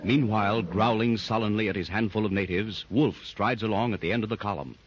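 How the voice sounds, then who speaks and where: A man's voice: lowish voice, fairly quick. A man narrating a 1930s radio serial in a vivid, dramatic manner. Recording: dull lo-fi 1930s radio recording with faint hiss.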